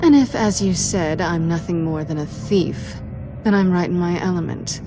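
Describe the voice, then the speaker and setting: A woman's voice: on the low side, normal speed, music in the background, natural voice. A woman playing a cool, self-assured female thief in a video game, with a dry, teasing, guarded way of talking. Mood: guarded, defiant. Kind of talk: naturalistic video game acting.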